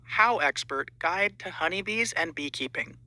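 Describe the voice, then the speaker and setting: A man's voice: sits high, animated, normal speed, pushed and bright. A man reading scripted audiobook narration as an audition.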